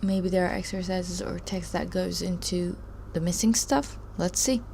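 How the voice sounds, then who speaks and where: Woman, live stream host, casual chat, rambling, low pitch, gravelly. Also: fairly even intonation, moderate pace, darkish tone, slight background noise. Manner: rambling, chatty, laughs often, trails off. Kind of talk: casual chat reading viewer comments.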